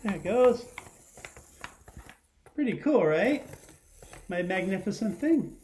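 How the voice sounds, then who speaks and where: A man's voice: high voice, big pitch swings, slow, a little mellow, slight background noise. A middle-aged man giving a children's lesson and read-aloud, speaking in simple phrases and often addressing questions to young viewers. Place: living room at home, indoors.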